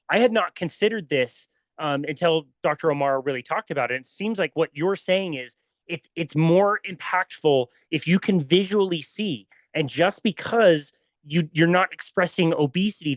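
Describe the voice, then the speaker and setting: A man's voice: a little high, no echo. A man hosting a podcast who thinks aloud and sums up what his guest said before asking a question. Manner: thinks aloud, repeats words, summarizes back to the guest. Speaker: podcast host. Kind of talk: casual interview chat. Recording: dry, slightly dull sound.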